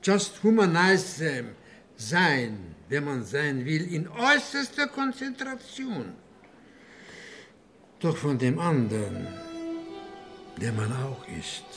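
An actor playing an intense man reflecting in a radio drama monologue, emphatic and deliberate. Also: mid-range voice, big pitch swings, fairly bright tone, faint music behind, full character voice.

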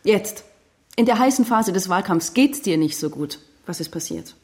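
An actress playing a cold, cynical politician's wife in a radio crime drama, cutting and controlled. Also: female voice, medium pitch, animated, normal speed, lightly acted. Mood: suspicious, cutting.

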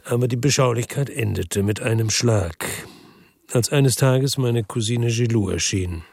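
A man's voice: mid-range voice, unhurried, vocal fry, a little mellow, husky, dry recording, clean studio sound. An actor playing a world-weary former policeman from Marseille, narrating his case soberly and laconically in a radio drama. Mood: weary, reflective. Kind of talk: first-person radio drama narration and dialogue.

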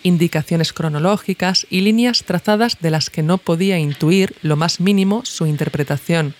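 A woman's voice: on the low side, moderate pace, a little mellow. A woman from reading scripted documentary narration as the host of an audio podcast.

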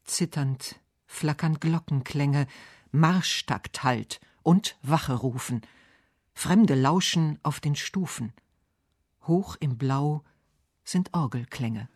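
Male reader for literary radio essay, lighter higher pitch, dry studio sound. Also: slow, a little mellow, no echo. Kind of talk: scripted literary reading and narration.

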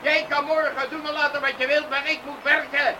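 A man acting a gruff, domineering farmer father in a radio drama, brusque and scolding as he gives orders. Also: very high voice, level tone, brisk. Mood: angry, scolding. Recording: distant, faintly noisy radio drama recording.

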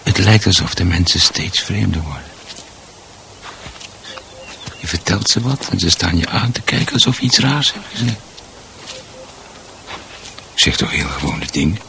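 An actor playing a bewildered, meekly polite husband losing his grip in a radio drama, muttering haltingly and repeating himself. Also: low-pitched, expressive, creaky, pushed and bright, slight background noise, full character voice, poor audio. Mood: muttering, puzzled.

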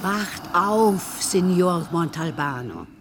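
An actress playing an excitable, pious, nosy housekeeper in a radio crime play, urgent and gossipy. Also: female voice, on the low side, slow, heavy background noise. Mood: alarmed. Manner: urgent, gossipy, teasing questions.

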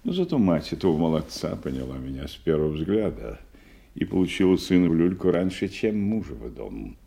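An actor playing a worldly, jovial middle-aged nobleman in an audio play, wry, unhurried and courtly. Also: sits low, sing-song, vocal fry, warm tone, heavily acted. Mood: wry, genial. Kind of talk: theatrical audio play acting.

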